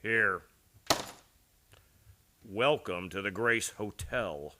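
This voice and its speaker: An actor playing a hostile, blustering but cowardly frontier hotel keeper in a western radio play. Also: male voice, fairly low pitch, very slow, music in the background. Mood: grudging, cowed.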